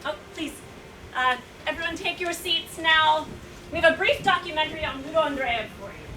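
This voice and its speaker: A woman's voice: high voice, animated, moderate pace, bright, forceful tone. A woman playing an eager, courteous presenter in a staged reading, speaking in a formal, declamatory way. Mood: politely instructing. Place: indoor room at a staged reading. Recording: roomy, distant mic, noisy live hall recording.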